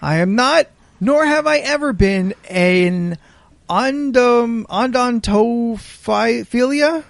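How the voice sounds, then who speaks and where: A man reading a letter aloud as an indignant, pompous gentleman, theatrical and mock-offended. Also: extremely high pitch, slow, gravelly, no echo, voice pushed up, exaggerated voice. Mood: indignant denial.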